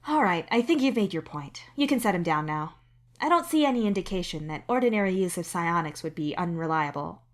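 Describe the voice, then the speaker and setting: A woman voicing a friendly alien doctor in a podfic, measured, professional and reassuring. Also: lowish voice, expressive, close to an everyday voice. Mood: reassuring, light.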